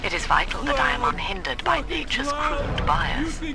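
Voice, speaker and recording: A woman's voice: sits high, very animated, normal speed, reverberant, in a large hall. An actress playing a cold, imperious woman demagogue, speaking with clinical, oratorical precision in a video game. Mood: clinical, proud. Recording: music behind the voice.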